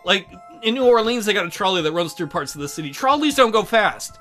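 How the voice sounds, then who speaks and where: A man's voice: high voice, very animated, brisk, bright, forceful tone, soft background music. A man hosting a casual let's play, rambling and joking in a crude, sarcastic way. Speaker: gaming video host.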